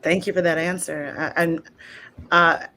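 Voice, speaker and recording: A woman's voice: on the low side, fairly even intonation, unhurried, sharp tone, slight background noise. A woman actor interviewing a guest in a casual, appreciative conversational style.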